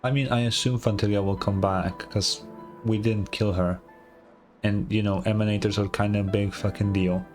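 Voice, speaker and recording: A man's voice: on the low side, steady tone, normal speed. A man streaming a game, giving casual, offhand reaction commentary with blunt swearing over game music.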